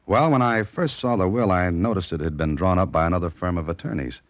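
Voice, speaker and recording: A man's voice: low voice, a little fast, no room sound, close to an everyday voice. A man playing a cool, wry novelist turned amateur sleuth in a 1940s radio drama, with a dry, teasing, understated manner. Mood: explaining. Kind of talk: 1940s radio drama acting and first-person narration. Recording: vintage radio recording with music.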